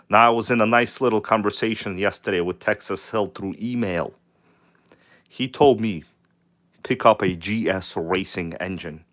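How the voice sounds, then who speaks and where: A hoarse man with a cold hosts a live stream in a fairly low voice. He speaks, hyped and casual, calling out to viewers as he goes. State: hoarse from a cold, nearly lost voice. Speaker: channel host. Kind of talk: live stream chat about RC cars.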